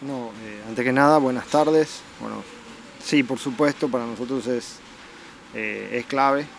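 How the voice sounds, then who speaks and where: A man with an Argentine accent giving halting, repetitive answers in a spontaneous interview. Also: medium pitch, gravelly, a little hiss or hum, low-quality recording. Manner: halting, repeats words. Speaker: sheep farm owner interviewee.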